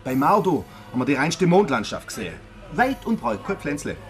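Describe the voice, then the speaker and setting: Grim man, animated intonation. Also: fairly high pitch, brisk. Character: sober local-minded man grimly reporting ruined landscape. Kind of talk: radio drama dialogue.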